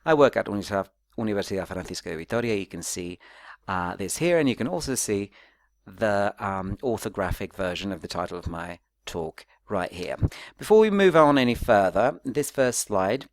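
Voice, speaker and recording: Low-voiced man giving a phonetics talk. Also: very animated, normal speed, no room sound. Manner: conversational, didactic, checks in with rhetorical questions and tags. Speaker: academic presenter, phonetics researcher. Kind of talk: informal academic talk explaining slides.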